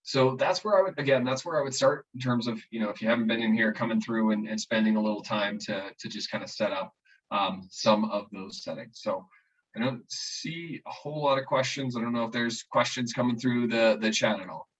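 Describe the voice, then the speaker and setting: A man giving a live online software walkthrough as a trainer, haltingly, repeating and restarting his phrases. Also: mid-range voice, slightly rough. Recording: muffled, distant mic, online meeting.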